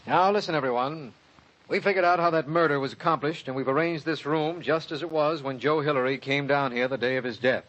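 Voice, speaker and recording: An actor playing an earnest, commanding district attorney in an old-time radio detective drama, firm and matter-of-fact. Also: male voice, on the high side, a little fast, a bit bright, muffled recording, lightly acted.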